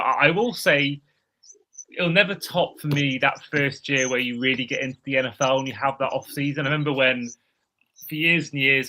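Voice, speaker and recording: Host guy, bright sharp voice, gets all excited, trips over first words. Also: mid-range voice, low-quality recording. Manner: excitable, reads lists, stammers at starts. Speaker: podcast host. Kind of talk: casual podcast chat.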